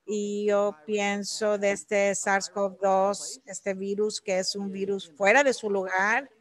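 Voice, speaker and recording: A woman working as a live interpreter for an academic talk, delivering it in halting, separate phrases. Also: medium pitch, flat delivery.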